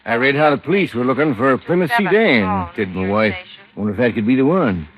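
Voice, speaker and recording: Old-time radio farmer, played by a male actor: American, rambling and offhand, mid-pitched with animated swings. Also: fairly quick, crowd noise, natural voice. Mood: folksy, offhand. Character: plain-spoken rural farmer, vague and unconcerned. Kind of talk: 1950s radio drama acting. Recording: dull vintage radio recording.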